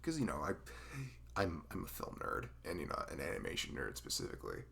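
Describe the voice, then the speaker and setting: A man's voice: very deep voice, huge pitch swings, brisk, creaky, bright, forceful tone, heavily acted. A man playing a dorky, nervous young best friend in a conversational ASMR roleplay, rambling and stammering with self-deprecating humor. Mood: enthusiastic, rambling.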